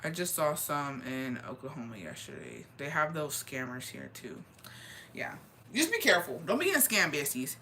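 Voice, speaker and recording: A deep-voiced woman from Texas chatting casually and gossiping on a livestream, slangy and loose, dropping in Spanish phrases. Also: huge pitch swings, moderate pace, bright, forceful tone, slight background noise. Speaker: livestreamer reading viewer comments.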